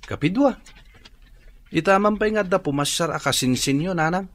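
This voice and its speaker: A man's voice: sits high, normal speed, no room sound, lightly acted. A man playing a suspicious young son, probing and questioning, in an Ilocano radio drama with an Ilocano accent. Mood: curious. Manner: probing, accusatory.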